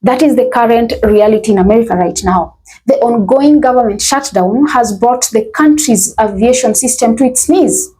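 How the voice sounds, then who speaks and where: A woman host delivering a scripted commentary intro, with halting delivery and repeated phrases. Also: on the high side, dark tone, husky, rough recording.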